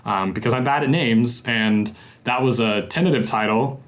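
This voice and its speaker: A man's voice: mid-range voice, normal speed. A young adult man hosting a casual podcast, upbeat and chatty, a little self-deprecating, recorded in an echoey room on a home mic. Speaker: podcast host.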